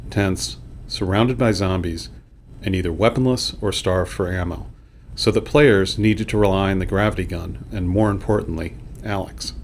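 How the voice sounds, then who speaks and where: A man with a low voice reads scripted developer commentary. A faint layer of ambient noise sits behind his narration. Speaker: game developer.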